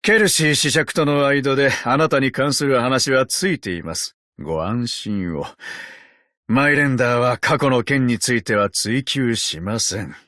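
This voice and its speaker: A man voicing a courteous, wry, ancient undead detective in a tin exoskeleton for a game, with polite, gentlemanly phrasing. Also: medium pitch, dry recording, clean studio sound. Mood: grave, reflective. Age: older. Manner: polite, unhurried, sardonic gentlemanly speech. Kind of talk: Japanese game character voice lines.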